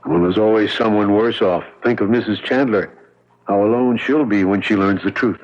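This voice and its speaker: A man's voice: lowish voice, normal speed, lightly acted. An actor playing a sober, kindly, frank man in a 1970s radio drama. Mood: sober, concerned. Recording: dull lo-fi 1970s radio recording.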